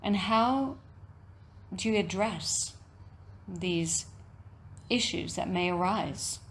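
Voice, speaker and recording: Woman yoga teacher doing guided meditation, really slow n deliberate, lots of pauses, bit of background noise. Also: lowish voice. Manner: measured, deliberate phrasing with frequent pauses. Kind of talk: guided meditation talk, reflective and instructional.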